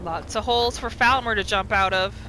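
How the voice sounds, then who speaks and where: A woman hosting a gaming video, giving casual playthrough commentary in an expressive, exclamatory way. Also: mid-range voice, a little fast, noisy background. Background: game music.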